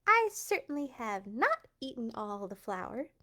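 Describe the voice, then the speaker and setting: A woman plays a storybook tomcat with a voice pitched way up high. He is impatient and indignant, whining and complaining in an exaggerated way. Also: sing-song, measured pace, sharp tone, exaggerated voice. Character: impatient, indignant male pet cat in a storybook.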